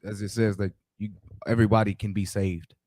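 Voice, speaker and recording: A man's voice: lowish voice, flat delivery, a little fast, a little mellow, no echo, studio quality. A man answering a teacher's questions hesitantly, calling him sir. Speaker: student.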